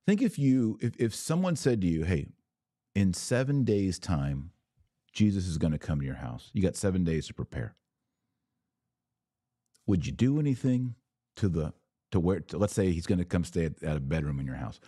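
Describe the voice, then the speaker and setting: A man's voice: deep voice, dark tone, no room sound, studio quality. A man hosting a podcast, giving religious commentary and answering viewer comments in an emphatic, didactic way with rhetorical questions. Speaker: podcast host.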